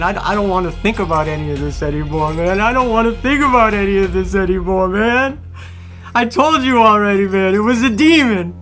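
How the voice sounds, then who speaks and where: Horror audio drama: strung-out, frantic killer, high-pitched, stuttering, pleading, repetitive, over music. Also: male voice, a bit bright. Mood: weary, evasive. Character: frantic drug-addled killer pleading that a demon made him.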